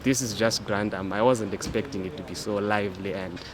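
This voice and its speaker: A man's voice: lowish voice, steady tone, fairly quick. An eighteen-year-old man with a Zimbabwean accent, a new student giving informal interview answers. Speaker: new sixth-form student being interviewed. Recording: clear outdoor background noise.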